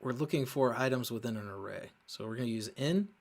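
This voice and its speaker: A man hosting a casual coding walkthrough, thinking aloud in a halting way as he works and often muttering to himself. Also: mid-range voice, creaky. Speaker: host of a coding show.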